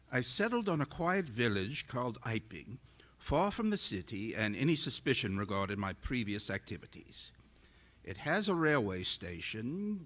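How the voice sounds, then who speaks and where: Man acting an arrogant, theatrical, sharp-voiced scientist. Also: mid-range voice, heavily acted. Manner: formal, pompous, melodramatic diction. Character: arrogant, irritable male scientist, secretive and obsessed. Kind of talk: theatrical radio drama acting, journal narration.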